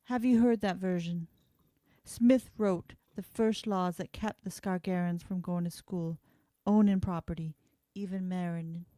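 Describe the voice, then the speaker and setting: A woman playing a kind, earnest and quietly defiant frontier schoolteacher in an amateur radio play, explaining things in a measured way. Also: lowish voice, steady tone, no echo, natural voice. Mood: somber, explanatory.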